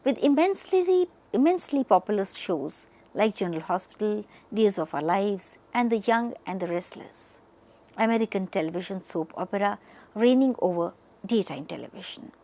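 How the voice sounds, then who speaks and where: A woman's voice: mid-range voice, lively intonation, moderate pace, dark tone. A woman with an Indian accent giving an explanatory lecture, addressing listeners directly with emphatic phrasing. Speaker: media educator presenting a lesson. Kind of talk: lecture-style explanatory narration.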